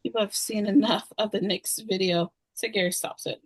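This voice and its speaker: A woman's voice: medium pitch, fairly even intonation, moderate pace, husky, no room sound, rough recording. A woman reading stage directions as scripted narration at a screenplay table read.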